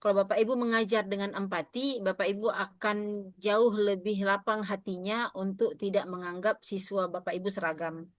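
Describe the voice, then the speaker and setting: A woman's voice: mid-range voice, fairly even intonation, normal speed, no room sound. Chatty woman lecturing teachers, dry sound. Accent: Indonesian. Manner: addresses audience often, asks questions, frequent fillers. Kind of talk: interactive teacher-training lecture.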